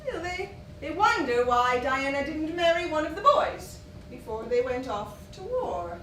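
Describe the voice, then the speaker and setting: An actress as a prying society gossip on stage, high-voiced and highly animated. She oozes false sympathy and coy, theatrical insinuation. The sound is distant, as if from across a theatre. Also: a little slow, full character voice. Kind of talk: stage acting in a period one-act play. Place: theatre stage, recorded from the audience area. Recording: roomy, noisy stage recording from far off.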